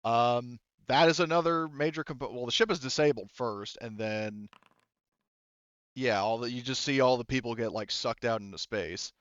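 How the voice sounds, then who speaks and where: Halting male game master, smooth-voiced. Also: medium pitch, fairly bright tone, no room sound, dull audio. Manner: halting, thinking aloud. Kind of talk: narrating a tabletop game session.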